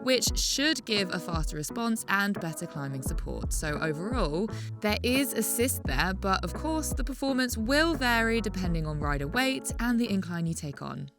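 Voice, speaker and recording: A young woman narrating a clear, lightly upbeat voice-over for an e-bike review. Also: mid-range voice, lively intonation, moderate pace, few pauses. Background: music bed.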